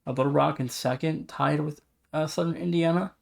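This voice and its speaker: A man's voice: on the high side, fairly even intonation, fairly quick, creaky, darkish tone. A man co-hosting a sports podcast, casually running through standings and team updates. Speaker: podcast co-host.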